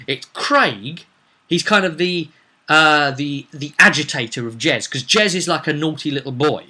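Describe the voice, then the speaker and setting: A man informally recapping a tabletop roleplay session as game master, rambling and animated as he tells the story. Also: a little high, a little slow. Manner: rambling, animated, acts out quotes.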